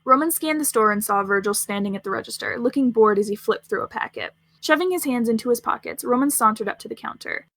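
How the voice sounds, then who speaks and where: A woman's voice: a little high, lively intonation, fast, hardly any pauses, a bit bright, dry recording. A woman reading scripted fiction aloud as the narrator of a story podcast.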